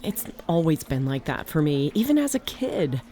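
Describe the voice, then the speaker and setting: An uneasy, thoughtful mission control officer in an audio drama. Her voice is a little low with very lively intonation, hesitant and subdued in manner. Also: normal speed. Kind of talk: naturalistic audio drama acting.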